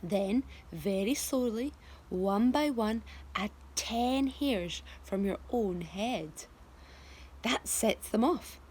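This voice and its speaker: A teacher voicing a secretive old man who gives hushed, urgent instructions in a storybook reading. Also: mid-range voice, animated, slow, slight background noise. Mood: mysterious. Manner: hushed, whispering, then hurrying.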